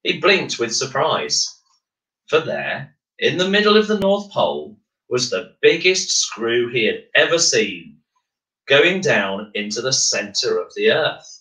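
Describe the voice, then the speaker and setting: A man reads a children's story aloud. His delivery is slow and measured, with highly animated pitch. The recording has a clear room echo around his expressive narration. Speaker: bedtime story reader. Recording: roomy, echoing room.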